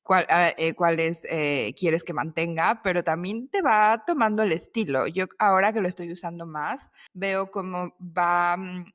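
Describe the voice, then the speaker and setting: A woman with a somewhat low voice co-hosts a casual podcast conversation. She thinks aloud, often tagging her points with a questioning "right?". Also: a little slow, few pauses, a bit bright. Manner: thoughtful, adds tag questions. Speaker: podcast co-host.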